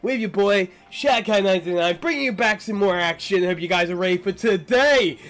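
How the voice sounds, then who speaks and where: A man's voice: high-pitched, brisk, a bit bright. A young man live-streaming a game from home, chatting casually and rambling while congested from a sinus infection. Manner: chatty, rambling, friendly with chat. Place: home room.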